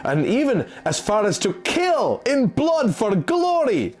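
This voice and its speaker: A man's voice: extremely high pitch, wildly swooping pitch, slow, darkish tone, soft background music, pitched above the performer's own voice, exaggerated voice. A man voicing an anxious, bossy fantasy companion who gives urgent warnings in a storybook reading. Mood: worried, urgent. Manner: urgent, exclamatory.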